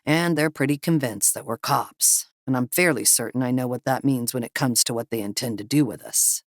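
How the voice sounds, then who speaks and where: A woman voicing a calm, firm male pilot in an audiobook, speaking gravely and quietly. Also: a bass voice, normal speed, no room sound, clean studio sound. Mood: grim, hushed.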